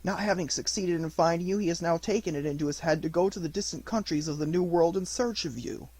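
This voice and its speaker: A woman voicing a large, kindly male pigeon in a storybook, speaking calmly. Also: on the low side, fast talker, husky, no room sound, pitched above the performer's own voice, poor audio.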